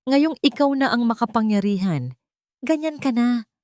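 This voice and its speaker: A woman's voice: a little high, lively intonation, moderate pace, no echo, studio quality, voice pushed up, close to an everyday voice. A woman voicing an enraged, proud husband hurling accusations at his wife in an audio drama. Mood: angry, accusing. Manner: shouting accusations.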